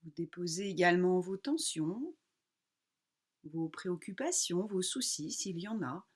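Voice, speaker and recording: Calm woman guiding a relaxation session. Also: on the low side, slightly rough. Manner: calm, unhurried, instructing in short phrases with pauses. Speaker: yoga nidra teacher. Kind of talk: guided relaxation meditation.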